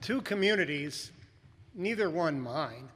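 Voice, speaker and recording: A man's voice: a little high, expressive, a little slow, a bit bright. A man reciting short scripted personal statements in a reverberant hall.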